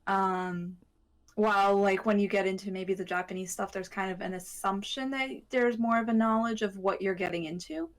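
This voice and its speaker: Female panelist, average pitch, informal delivery broken into short halting phrases. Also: steady tone. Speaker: panelist on an anime discussion show. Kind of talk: casual chat.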